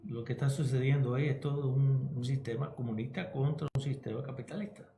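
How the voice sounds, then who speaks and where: A man's voice: mid-range voice, steady tone, fairly quick, gravelly, mellow. Older man, Cuban accent, emphatic, echoey room, faint noise. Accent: Cuban Spanish. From Cuba. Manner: emphatic, checks in with "¿ok?". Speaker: radio co-host, pastor and psychologist.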